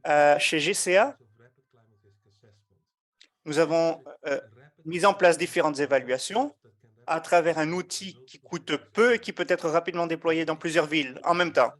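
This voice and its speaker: A man giving a live interpretation of an online meeting, measured and often hesitating as he keeps up with the speakers. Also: on the high side, a bit bright. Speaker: simultaneous interpreter.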